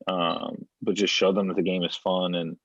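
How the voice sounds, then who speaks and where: A young man from New York, a professional baseball player, talking calmly and reflectively as a podcast guest. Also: low-pitched, normal speed, dry recording, lo-fi sound. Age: young adult. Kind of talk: podcast conversation.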